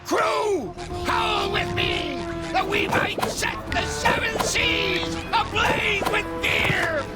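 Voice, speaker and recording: An actor playing a bombastic, gleefully menacing ghostly pirate captain in a cartoon video game, with theatrical pirate turns of speech. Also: male voice, very high voice, wildly swooping pitch, drawn-out words, full character voice. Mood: commanding. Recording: loud music behind voice.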